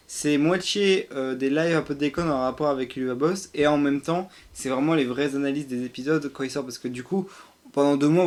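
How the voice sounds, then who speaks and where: Hesitant young man chatting on stream. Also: medium pitch. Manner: hesitant, rambling, thinking aloud. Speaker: streamer, co-host. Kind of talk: casual live stream chat.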